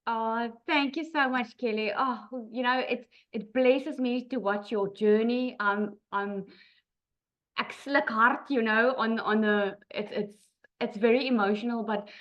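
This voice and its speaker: A woman with a South African accent hosting a group call, talking slowly and with feeling. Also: a little high, a bit bright, muffled recording. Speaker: host of an online business group.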